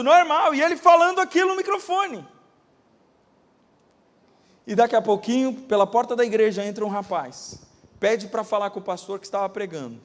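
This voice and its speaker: A male pastor with a Brazilian Portuguese accent, preaching a sermon and telling testimonies dramatically, swinging between loud bursts and hushed asides. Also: very high-pitched, big-room sound. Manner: dramatic storytelling, acting out quoted voices, swinging from loud to hushed. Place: church.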